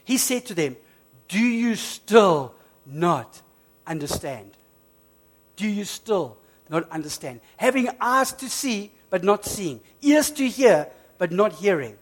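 A man preaching a sermon, emphatic and repetitive, slowing down on key phrases, a bit hoarse from flu. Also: sits high, sing-song. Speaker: pastor.

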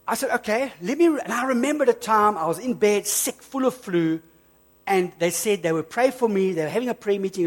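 A man preaching a sermon, emphatic and repetitive, slowing down on key phrases, a bit hoarse from flu. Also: high-pitched. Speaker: pastor.

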